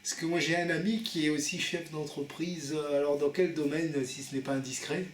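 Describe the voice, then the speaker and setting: A man's voice: a little high, fairly even intonation, fairly quick, slight background noise. Echoey hesitant man making a prank call. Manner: hesitant, repetitive, naive questioning. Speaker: prank caller posing as a car buyer. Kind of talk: phone prank conversation.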